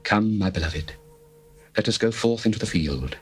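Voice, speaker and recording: A solemn, adoring lover from an ancient love poem, as a man reads him, speaking his praise slowly and with reverence. The voice sits a little low and is steady. Faint music plays underneath. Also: close to an everyday voice. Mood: tender. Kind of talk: dramatized scripture reading.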